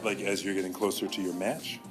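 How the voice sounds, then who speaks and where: A man's voice: deep voice, steady tone, a little fast, soft background music. A man hosting a casual interview in a conversational style, putting questions to a guest. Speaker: school podcast host and interviewer.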